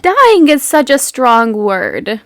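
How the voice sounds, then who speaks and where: A woman's voice: high-pitched, big pitch swings, slow, fairly bright tone, no room sound, studio quality. A woman game master narrating a tabletop roleplaying game in a casual, giggly, playful and conversational way.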